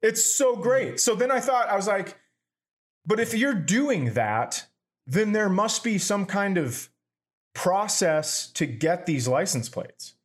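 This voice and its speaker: Guy hosting a podcast, swears a lot, lively storyteller, kinda high voice. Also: slow pace. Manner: profane, animated storytelling. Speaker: podcast host. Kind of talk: casual podcast banter.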